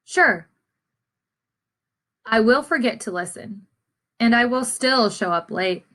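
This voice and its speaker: A woman reading as a storybook seed, a sullen male character trying to reform, in a deliberate, confessional way. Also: fairly high pitch, lively intonation, fairly bright tone, heavily acted. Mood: hopeful, earnest.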